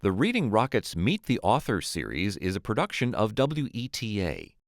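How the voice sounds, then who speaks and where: A man reading scripted funding credits as an announcer, clear and even. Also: lowish voice, normal speed, no echo, clean studio sound.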